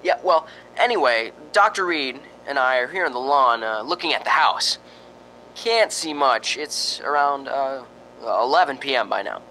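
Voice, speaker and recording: An actor playing a chatty, slightly nervous ghost-hunting radio host in a radio drama, hesitant and conversational. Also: male voice, mid-range voice, lively intonation, moderate pace, bright, forceful tone, a little hiss or hum, rough recording. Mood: genial, informal. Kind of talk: radio drama acting, on-air commentary.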